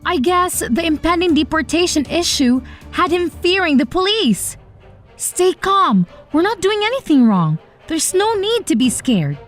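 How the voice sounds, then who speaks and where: A woman performing an earnest young heroine of an animated story, telling it in a high, swooping voice. Her delivery is expressive and dramatic, with music playing behind her. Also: a little slow, heavily acted. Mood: earnest storytelling. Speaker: narrator and lead character. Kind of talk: animated first-person story narration with acted dialogue. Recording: voice over background music.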